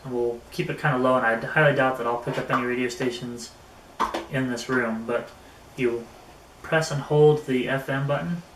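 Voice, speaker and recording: A man's voice: mid-range voice, fairly even intonation. Man reviewing gear in an echoey room. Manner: halting, pausing mid-phrase. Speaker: product reviewer. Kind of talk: casual hands-on gear review. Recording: roomy echo, faint background noise.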